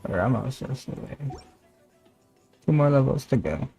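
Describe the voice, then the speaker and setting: A man's voice: medium pitch, animated, normal speed, creaky, dark tone, soft background music. A man chatting casually to viewers as he streams a video game. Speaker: live streamer. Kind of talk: casual gaming livestream chat.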